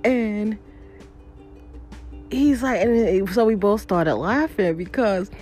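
A woman podcast host shares a personal tale at an unhurried pace. Her talk is informal and colloquial, in an everyday mid-range voice, with music playing behind her. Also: mid-range voice. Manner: animated retelling, acts out quoted dialogue. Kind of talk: casual personal storytelling.

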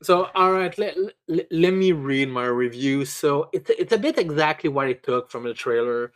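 A man with a slightly high voice talks casually as a podcast co-host. He stammers and restarts often, repeating words as he lays out blunt, sweary criticism of a film. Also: expressive, normal speed. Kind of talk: casual movie review chat.

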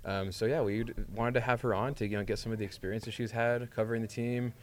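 A man hosting a sports talk podcast, asking questions in a relaxed, hesitant way with short friendly replies. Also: mid-range voice, quick pace, slight background noise. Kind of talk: casual interview chat.

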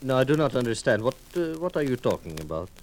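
Wary formal merchant guy, middle pitch, old radio drama with faint noise and clicks. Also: sing-song, quick pace. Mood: wary, puzzled. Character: guarded, formal male cotton business owner. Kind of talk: 1940s radio drama acting.

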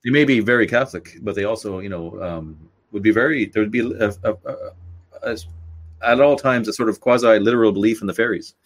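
A quick-talking man from Canada with a fairly low voice. He chats casually as an interviewer, in an informal, opinionated style that rambles a little. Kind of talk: casual chat.

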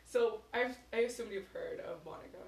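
A woman's voice: medium pitch, moderate pace, vocal fry, roomy sound, a loud crowd. A young woman guest on a podcast, giggly and hesitant as she tells a story. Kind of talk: casual chat.

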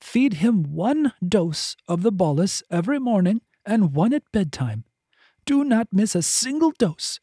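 A man's voice: high-pitched, sing-song, unhurried, mellow, breathy edge, no echo, studio quality, voice pushed up, exaggerated voice. A man voicing a healer who gives firm, curt orders. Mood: stern. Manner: curt, commanding.

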